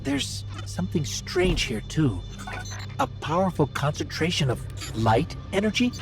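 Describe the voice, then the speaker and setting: An actor voicing a small, loyal, curious robot companion in a video game, earnest and observant. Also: male voice, a little high, big pitch swings, measured pace, over music. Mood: curious, uneasy. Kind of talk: video game cutscene acting.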